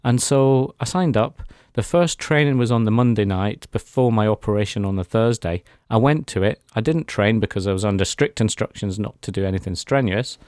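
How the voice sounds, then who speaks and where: A man's voice: fairly low pitch, fairly quick, hardly any pauses, darkish tone, no echo, clean studio sound. A man speaking as a guest in a radio interview, giving an earnest, reflective personal testimony. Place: radio studio.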